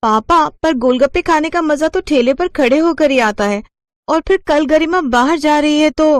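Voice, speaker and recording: A woman's voice: high voice, normal speed, no echo, studio quality, voice pushed up, natural voice. A woman voicing a kind rich young woman who defends her friend in a cartoon story. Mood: insistent. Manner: insistent, defending.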